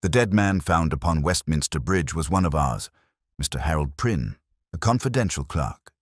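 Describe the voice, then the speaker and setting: A man voicing a grey-haired, cautious senior government official in an audiobook, measured, careful and formal. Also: a bass voice, lively intonation, slightly rough, dry recording, studio quality, heavily acted. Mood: anxious, guarded.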